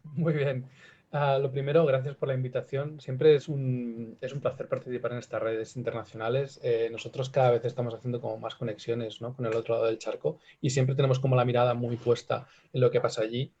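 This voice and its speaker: Guy from spain w/ spanish accent, talks quick, mellow low-ish tone, kinda dull sound. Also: level tone. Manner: fast, earnest, tag questions. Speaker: panelist. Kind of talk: casual panel talk. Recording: dull lo-fi audio.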